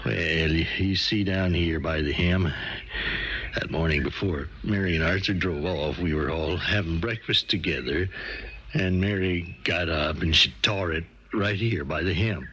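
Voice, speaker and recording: A man's voice: low voice, a little slow, bright, forceful tone. An actor playing a homespun man explaining a clue, plain and folksy, in a 1970s radio drama. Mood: earnest, sad. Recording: muffled noisy vintage radio recording.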